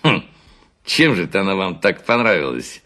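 A man's voice: deep voice, very animated, moderate pace, sharp tone, heavily acted. An actor playing a naive, pleased husband in a theatrical story reading, chatty and chuckling as he gossips. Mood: pleased, puzzled.

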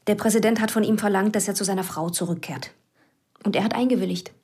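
An actress playing a cool, calculating police investigator who talks tersely, with whispered inner asides. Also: female voice, mid-range voice, fast talker, a little mellow, slightly rough. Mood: cool, pressing. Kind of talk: radio play acting.

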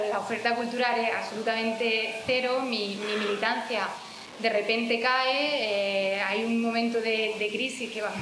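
Woman, Andalusian accent, a bit high, halting talk; roomy and noisy recording. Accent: Andalusian Spanish. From Jaén, Spain. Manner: hesitant, many restarts and fillers. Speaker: speaker presenting a fanzine. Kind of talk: informal public talk. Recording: echoey room with background noise.

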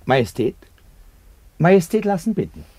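An actor in a German radio play as a deferential servant of a Roman emperor, making formal announcements. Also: male voice, fairly high pitch, sing-song, normal speed, mellow, no room sound. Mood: deferential, announcing.

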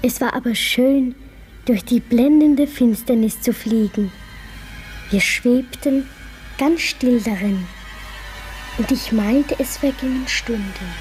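Young girl, high voice, unhurried, dreamy, trusting, radio drama storytelling. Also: a little mellow, faint music behind, poor audio. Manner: dreamy, deliberate, childlike wonder. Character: wondering, trusting young girl who befriends a raven. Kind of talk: German radio play acting, storytelling narration.

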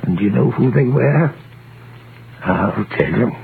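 A creaky, knowing old-radio gossip. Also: male voice, mid-range voice, lively intonation, a little slow. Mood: knowing, sly. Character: gossipy, hard-drinking male witness recounting a sad scandal. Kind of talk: 1930s radio acting. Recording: tinny, noisy vintage radio recording.

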